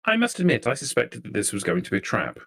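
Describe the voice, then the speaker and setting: A man voicing a poised, coolly menacing female vampire negotiator in a tabletop roleplay, with formal, measured diction. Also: medium pitch, huge pitch swings, gravelly, fairly bright tone, pitched above the performer's own voice, exaggerated voice. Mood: cool, polite.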